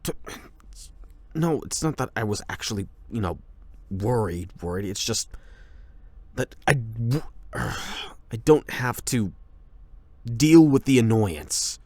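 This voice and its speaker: A man playing a gruff, impatient teenage delinquent with a hidden caring side, brusque and defensive in an anime roleplay audio. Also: mid-range voice, lively intonation, takes it slowly, long pauses, darkish tone, no echo. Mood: flustered, defensive. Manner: brusque, exasperated, defensive, with sighs.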